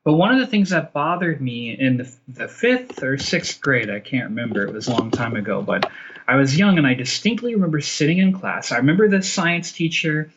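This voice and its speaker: A man's voice: fairly high pitch, lively intonation, a little fast, a bit bright, breathy edge, dull audio. A man talking as an interview guest in casual, opinionated conversation, emphatic and repeating phrases to drive points home. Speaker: interview guest, film director.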